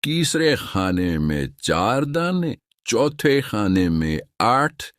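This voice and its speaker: A man voicing a humble but self-assured inventor in a cartoon story, speaking respectfully and deliberately. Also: medium pitch, big pitch swings, a bit bright, dry recording, studio quality, full character voice. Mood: respectful, measured. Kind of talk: cartoon story voice acting in Urdu.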